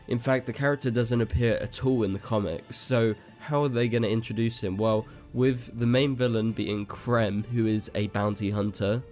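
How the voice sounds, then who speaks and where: A man's voice: lowish voice, darkish tone. A man hosting his own online show, breaking down news in a casual, chatty way with frequent asides, his voice a little off as he recovers from an illness. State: voice slightly off after an illness. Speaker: YouTube show host. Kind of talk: casual news commentary to camera.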